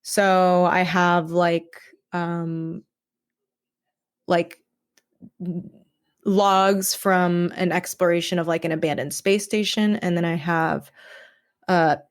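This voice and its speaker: A woman talking casually as a podcast guest about her own creative work, in a halting, informal way. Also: on the low side, fairly even intonation. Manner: halting, informal, frequent "like" and "kind of". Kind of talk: casual chat.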